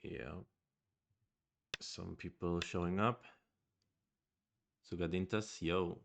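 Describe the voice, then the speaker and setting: Gravelly low Swedish man musing. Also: fairly even intonation, slow pace. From Sweden. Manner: thoughtful, hesitant musing aloud. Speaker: go streamer. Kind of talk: live-streamed game commentary.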